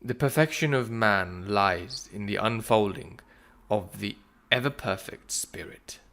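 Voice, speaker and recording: Low gravelly man reading solemnly. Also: fairly bright tone. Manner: solemn, measured, with deliberate pauses between phrases. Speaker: narrator of a spiritual audiobook. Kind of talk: scripted reading of philosophical prose.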